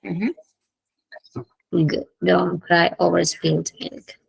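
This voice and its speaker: Gravelly-voiced woman teaching English. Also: lowish voice, steady tone, no echo, low-quality recording. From Peru. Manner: explains slowly, repeats phrases, checks in with students. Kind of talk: online class, switching between English and Spanish explanations.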